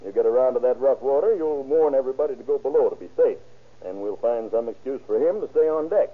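An actor playing a smug, scheming insurance swindler in an old radio crime drama. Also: male voice, mid-range voice, quick pace, close to an everyday voice. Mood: businesslike, scheming. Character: scheming, self-assured male insurance swindler, crooked adjuster. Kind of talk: 1930s-40s radio crime drama acting. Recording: muffled, scratchy old-time radio recording.

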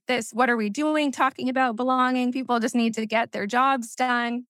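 A woman's voice: fairly high pitch, a bit bright, no echo, clean studio sound. A woman from the United States whose first language is Spanish, talking as a guest in a podcast conversation, earnest and reflective, often saying "like". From United States (Missouri).

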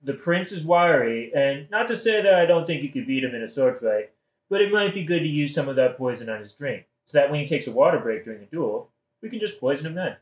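A man's voice: fairly high pitch, expressive, fast, roomy sound, natural voice, poor audio. A man playing a scheming, casually murderous king in a modern satirical audio play, talking in an evasive, colloquial, wheedling way.

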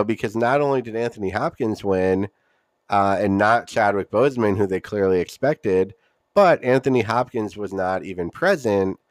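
A man's voice: on the low side, dry recording. A man hosting a solo podcast, giving casual, opinionated commentary in an emphatic, conversational way. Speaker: podcast host.

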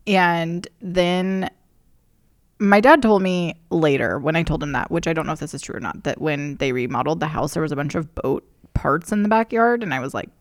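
Young adult woman, lower-pitched, creaky voice, casual podcast chat, giggly, self-mocking. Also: moderate pace, no echo, clean studio sound. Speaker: podcast co-host. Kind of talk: casual chat and personal storytelling.

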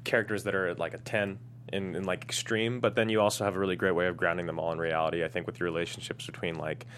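A man with a very low voice talks quickly as a panelist. He phrases his questions conversationally. A slight hum sits behind him. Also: little pitch movement, a bit bright. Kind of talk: casual discussion. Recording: noisy room with hum.

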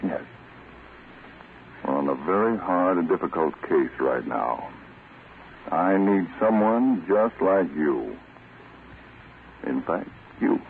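A man's voice: low-pitched, animated, creaky, exaggerated voice. A man playing a terse, authoritative counter-spy chief in a 1940s radio drama. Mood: grave, businesslike. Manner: terse, authoritative, deliberate instructions. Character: commanding male American counter-spy chief, brisk and secretive. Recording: tinny, noisy vintage radio recording.

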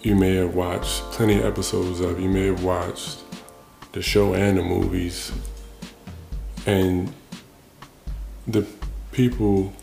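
A man's voice: low-pitched, fairly even intonation, slow, gravelly, long pauses, a little mellow, roomy sound, soft background music. A man hosting a solo podcast, musing casually and restarting his thoughts as he goes. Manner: casual, thinking aloud with frequent restarts. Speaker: podcast host. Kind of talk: reflective solo monologue.

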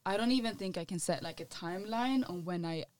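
A young adult woman from Sweden, a musician and model, talking casually as a podcast guest in a relaxed, rambling way full of "like". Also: lowish voice, fairly quick. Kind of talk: casual interview chat.

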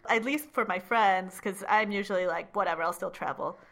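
A woman's voice: medium pitch, brisk, fairly bright tone. A woman co-hosting a casual chat podcast, chatty and laughing often, with lots of "like" fillers. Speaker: podcast co-host.

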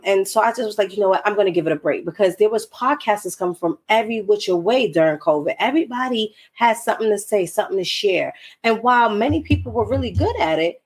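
A woman's voice: mid-range voice, husky. A woman hosting her own podcast, nasal and congested from a cold, talking candidly and emphatically to her listeners. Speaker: podcast host. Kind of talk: solo podcast talk.

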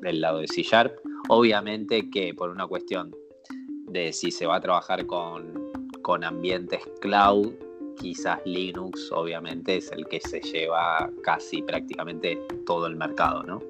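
Very deep-voiced man explaining. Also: flat delivery, unhurried, over music. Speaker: co-host. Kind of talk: casual tech chat.

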